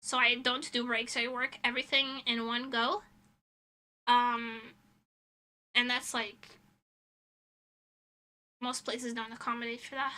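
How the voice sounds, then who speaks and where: Female streamer, high-pitched and piercingly bright, blunt rambling chat delivery, casual and sweary. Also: level tone, slow, pauses often. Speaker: livestreamer, illustrator. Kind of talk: casual livestream chat, reading and answering chat messages.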